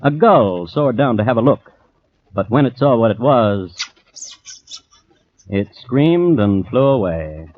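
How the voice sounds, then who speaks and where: A man playing a crime historian who narrates an old radio drama in a measured, dryly ironic way. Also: mid-range voice, animated. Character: dry, storytelling male crime historian. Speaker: host and narrator. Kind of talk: 1950s radio scripted narration. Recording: dull old radio recording, faint noise.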